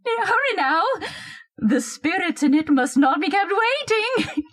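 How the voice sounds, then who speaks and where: Self-important older hostess, high voice, swooping delivery, theatrical, bossy, affected, old-time radio drama. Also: female voice, very animated, measured pace, fairly bright tone, full character voice. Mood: excited, bossy. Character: pompous, gushing, bossy older society hostess. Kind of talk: old-time radio horror-comedy acting.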